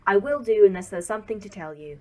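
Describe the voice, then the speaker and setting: An actress playing a fussy station AI who tends the garden and corrects others' work. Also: female voice, mid-range voice, fast talker, far from the mic, close to an everyday voice. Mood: polite. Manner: fussy, instructive.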